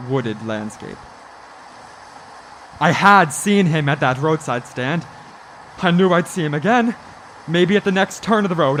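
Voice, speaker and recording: Guy acting as a jittery haunted driver in a radio play, kinda high voice, earnest, noise behind him. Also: a bit bright, lightly acted. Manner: earnest, stammering when flustered. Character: anxious male motorist haunted by a hitchhiker, narrating. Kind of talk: radio drama narration and dialogue.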